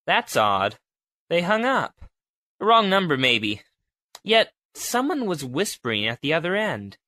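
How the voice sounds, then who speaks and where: A man's voice: fairly high pitch, very animated, a little slow, pushed and bright, full character voice. A man playing a plucky, polite young reporter hero, earnest, in an audio drama. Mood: puzzled. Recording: clear background noise, clicks.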